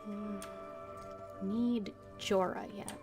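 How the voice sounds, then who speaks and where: A woman's voice: medium pitch, steady tone, very slow. A woman game streamer, switching between voicing her character's lines and casual commentary on her play. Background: faint game music.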